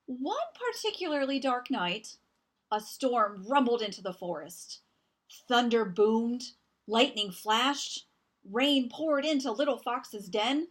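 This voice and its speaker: A woman storyteller reads a children's picture book in a fairly high voice. Her narration is very slow and expressive, leaning on words for dramatic emphasis.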